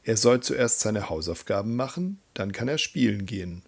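A man working as a language teacher, reading example sentences in a deliberate, instructional way. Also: on the low side, lively intonation, creaky, husky. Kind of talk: scripted grammar drill, reading example sentences.

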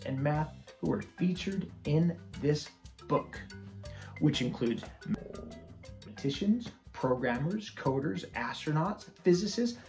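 A middle-aged man reading a picture book aloud to children in a deliberate, expressive, teacherly way. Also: fairly high pitch. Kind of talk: children's storytime read-aloud, scripted. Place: small room with shelves of picture books.

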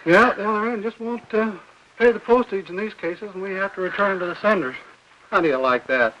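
A man's voice: sits high, brisk, sharp tone, muffled recording. An older actor playing a talkative, fussy mailman in a 1950s film, rambling on in a folksy, hesitant, apologetic way. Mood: fussy grumbling. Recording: noisy vintage 1950s film soundtrack.

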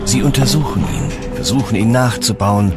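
Low-voiced male documentary narrator over music. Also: normal speed.

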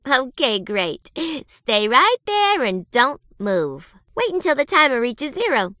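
An actress playing a perky but sinister reaper nurse in a video game, sugary and polite with menace underneath. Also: female voice, on the high side, pushed and bright, no echo, clean studio sound. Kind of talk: theatrical video game character acting.

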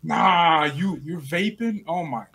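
Animated young man on a video call. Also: fairly high pitch, slow, gravelly. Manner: animated, playful, teasing. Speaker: guest rival fan. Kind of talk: casual banter. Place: small home room.

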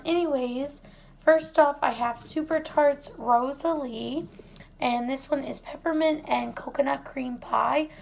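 Hoarse woman, very gravelly, high-pitched, unhurried chatty product-review vlogger. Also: slight background noise. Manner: chatty, relaxed, many fillers. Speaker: wax melt reviewer. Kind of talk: casual product review vlog. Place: home kitchen, indoors.

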